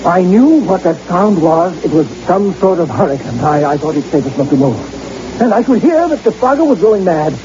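Stern, rattled doctor, animated higher pitch. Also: male voice, brisk. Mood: defensive, rattled. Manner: clipped, commanding, emphatic. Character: stern, stubborn doctor, rattled but dismissive. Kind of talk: old-time radio horror acting. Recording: noisy, dull old radio recording.

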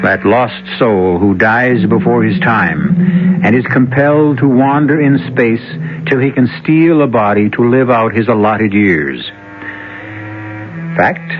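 A man giving a solemn, ominous scripted introduction to a horror radio drama. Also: lowish voice. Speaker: host narrator. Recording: dull old radio broadcast with music behind.

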